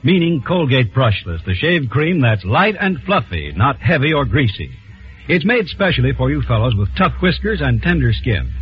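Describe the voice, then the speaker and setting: A man's voice: mid-range voice, big pitch swings, moderate pace, husky. An American man as a 1940s radio commercial announcer, selling in punchy slogans. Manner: punchy, slogan-driven salesmanship. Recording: muffled old radio broadcast with music.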